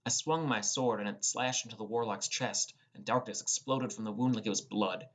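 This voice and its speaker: A man's voice: on the low side, moderate pace, a bit bright. A young man reading scripted first-person fantasy narration as a dramatic storyteller. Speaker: audiobook narrator.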